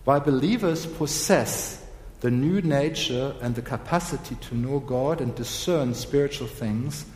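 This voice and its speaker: A hoarse man preaching a sermon, slow and deliberate, clearing his throat often. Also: medium pitch, darkish tone. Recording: echoey hall with long reverb.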